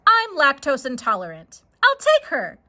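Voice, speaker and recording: A woman's voice: high-pitched, wildly swooping pitch, moderate pace, sharp tone, exaggerated voice. A woman voicing a short, round, grinning stranger, a wheedling trader with gushing sales patter, in a children's story. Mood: breezy.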